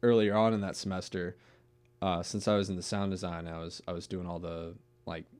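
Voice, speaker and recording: A young man with a deep voice chatting casually as a podcast guest, rambling with restarts and swearing. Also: flat delivery, fairly quick, a little mellow, clean tone. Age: young adult. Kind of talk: casual chat.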